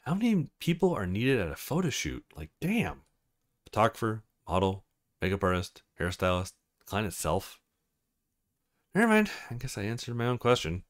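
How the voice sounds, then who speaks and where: A man hosting a let's play, reading game dialogue aloud and reacting to it in an animated way. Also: fairly low pitch, moderate pace, dry recording, studio quality.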